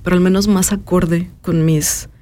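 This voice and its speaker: A woman, talking thoughtfully as an interview guest and pausing often with filler sounds. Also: fairly low pitch, fairly even intonation, warm tone. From Mexico. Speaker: interview guest, artist and educator. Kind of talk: personal reflective conversation.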